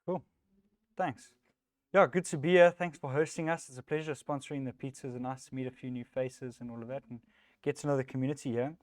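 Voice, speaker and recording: A man's voice: medium pitch, brisk, no room sound. A man in his thirties with a South African accent, giving a casual, self-deprecating talk at a developer meetup, with plenty of ums. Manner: relaxed, chatty, self-deprecating, many ums. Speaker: conference speaker, technical lead. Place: meetup room.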